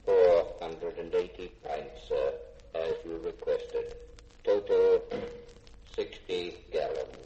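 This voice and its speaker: An actor playing a polite, literal servant robot with formal, measured mechanical delivery in a 1950s science fiction radio drama. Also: male voice, sits low. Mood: impassive. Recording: dull old radio recording, long reverb, hiss.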